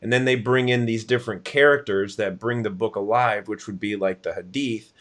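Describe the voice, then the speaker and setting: Low-voiced male interviewer, tentative phrasing. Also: fairly quick, a bit bright. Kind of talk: conversational live interview.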